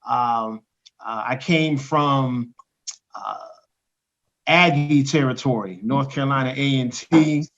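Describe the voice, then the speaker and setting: A man, a rapper and poet, talking casually on a video call, pausing often with ums and restarts. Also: mid-range voice. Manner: halting, many ums, animated when quoting lyrics. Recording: dull lo-fi call audio.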